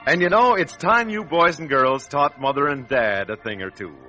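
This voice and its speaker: A man's voice: a little high, lively intonation, normal speed, over music. An American man announcing a 1940s children's radio show in a hearty, salesmanlike manner, on an old radio recording.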